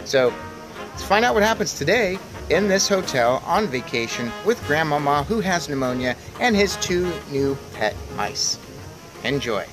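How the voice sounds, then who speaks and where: A man's voice: on the high side, big pitch swings, unhurried, rough recording. A man hosting a read-aloud series, giving a conversational introduction to an episode. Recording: music behind the voice.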